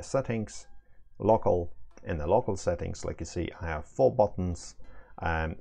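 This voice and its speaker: Man, 30s, creaky low voice, casual hands-on demonstrator talking through steps, faint music behind. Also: dark tone. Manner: informal, hands-on, step-by-step explaining. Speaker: product demonstrator. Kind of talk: casual tutorial, explaining setup steps.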